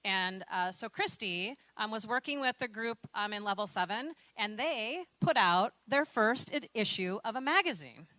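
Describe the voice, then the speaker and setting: Woman emcee at a podium mic, bright and crisp, encouraging, filler-heavy. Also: mid-range voice, expressive, normal speed, few pauses, dry recording, low-quality recording. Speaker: program staff emcee. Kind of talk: introducing speakers at a graduation ceremony.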